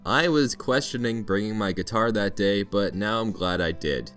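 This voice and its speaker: A man's voice: fairly low pitch, moderate pace, a bit bright, faint music behind. A Canadian man narrating a scripted vlog in a playful storytelling way with mock-dramatic flourishes. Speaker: vlog narrator, teacher in Japan.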